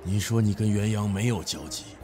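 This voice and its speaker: An actor playing a stern, imposing middle-aged company boss in an audio drama, accusing and condescending. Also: male voice, on the low side, moderate pace, close to an everyday voice. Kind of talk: Chinese audio drama acting. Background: background music.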